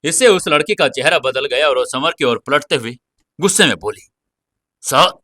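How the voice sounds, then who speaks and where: A man's voice: mid-range voice, animated, brisk, bright, forceful tone, no echo. A man narrating an audio serial in a dramatic, scripted storytelling style.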